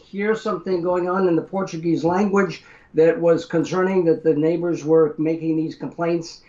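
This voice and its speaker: An older man with an American accent tells his story in an interview, his voice pitched a bit high and his manner thoughtful and measured. The recording is roomy, with a dull, muffled tone. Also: a little mellow. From Brazil. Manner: measured, many uh fillers, reflective storytelling. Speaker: guest author. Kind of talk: interview conversation.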